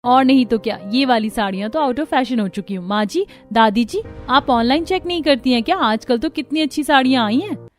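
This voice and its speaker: A woman's voice: high-pitched, a little fast, soft background music, lightly acted. A woman voicing a chatty, self-assured young daughter-in-law in a Hindi cartoon family story, speaking expressively. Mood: confident, trendy.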